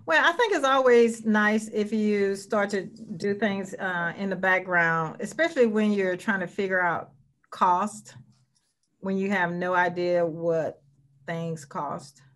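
A woman chairing a board meeting over a video call in a friendly, brisk way. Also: mid-range voice, a bit bright.

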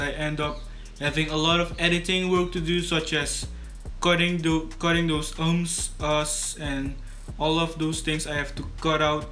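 Teen guy vlogging, flat and slightly high. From Suriname. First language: Dutch. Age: teens. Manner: casual, repeats and restarts words. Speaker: YouTube creator. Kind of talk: scripted tutorial vlog to camera. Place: small bedroom studio indoors.

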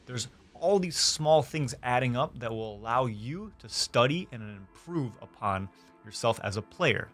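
Young man, mid-pitched, gaming creator reading a scripted tutorial. Deliberate, emphatic delivery on a close studio mic over background music. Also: animated, fairly bright tone. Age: young adult. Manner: deliberate, emphatic, listing points. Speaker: gaming YouTuber and streamer. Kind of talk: scripted instructional guide. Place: small home streaming room, indoors. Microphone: close studio mic on desk.

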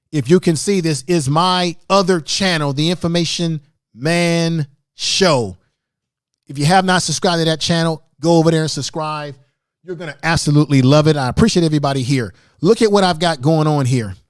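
A man's voice: a little high, no echo, clean studio sound. A middle-aged man hosting a live-stream tutorial, talking to his chat room in an emphatic, repetitive, instructive way. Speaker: livestream host, YouTube creator. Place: small home studio room indoors. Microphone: large microphone close in front of mouth.

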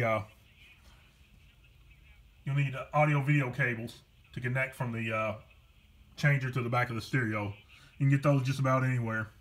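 Plainspoken man with a mid-pitched voice. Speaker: online seller demonstrating a car stereo. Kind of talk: casual product demonstration. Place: carpeted room at home. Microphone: handheld camera behind the lens.

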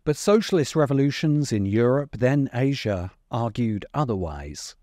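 Mellow male narrator with lively intonation. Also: mid-range voice, moderate pace, no room sound, studio quality. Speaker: video essayist and host. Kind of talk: scripted video essay narration. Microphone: close desk microphone. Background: music under the voice.

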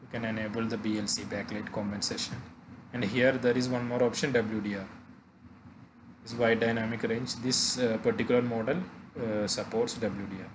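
A man narrating a software tutorial haltingly, pausing often mid-phrase. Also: lowish voice, little pitch movement, noisy background. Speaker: tutorial presenter.

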